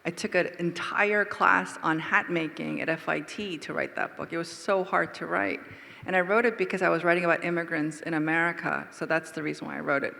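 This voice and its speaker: A woman's voice: lowish voice, fairly even intonation, fairly quick, vocal fry, pushed and bright. A woman author speaking to readers at a book talk, emphatic and full of rhetorical questions. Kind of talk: public talk answering readers. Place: lecture hall with reverb. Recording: reverberant hall sound.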